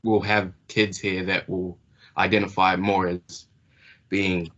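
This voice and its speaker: Deep, bright-toned man, winding question. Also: flat delivery, takes it slowly, lo-fi sound. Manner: long winding question with hesitations. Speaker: interviewer. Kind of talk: conversational interview question.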